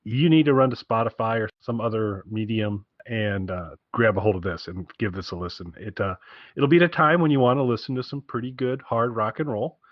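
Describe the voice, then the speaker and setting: Deep-ish guy rambling on a podcast, music nerd w wry asides, dry slightly muffled sound. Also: brisk, no room sound. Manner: enthusiastic music-fan talk with wry asides. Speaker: podcast co-host giving album recommendations. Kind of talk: casual rambling podcast chat.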